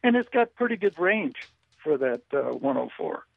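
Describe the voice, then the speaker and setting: A man calling in, chatting casually about old radio gear, halting and repeating words, with a voice he calls squeaky. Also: sing-song, creaky, no room sound. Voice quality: self-described squeaky voice. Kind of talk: casual ham radio chat. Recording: tinny phone line.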